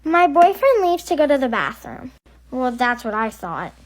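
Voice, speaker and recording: A woman's voice: high-pitched, very animated, normal speed, low-quality recording. A young woman narrating a first-person storytime in short, plain phrases.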